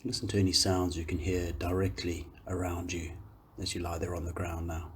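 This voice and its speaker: A man guiding a meditation, speaking calmly and gently in unhurried phrases with long pauses. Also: low voice, a little hiss or hum. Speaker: meditation guide, yoga teacher. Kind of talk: guided meditation, slow soothing instruction.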